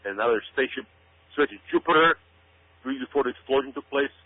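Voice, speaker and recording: A man's voice: mid-range voice, quick pace. A man giving conspiracy-minded commentary as a radio show guest, speaking emphatically and repeating words and phrases for stress. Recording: narrow, phone-like radio sound.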